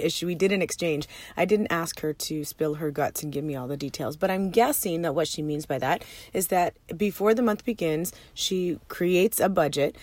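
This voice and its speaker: Hoarse woman podcasting from her minivan. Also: fairly low pitch, darkish tone, no room sound. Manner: upbeat and chatty, with fillers and restarts. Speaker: podcast host and money coach. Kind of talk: solo podcast monologue. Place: parked minivan.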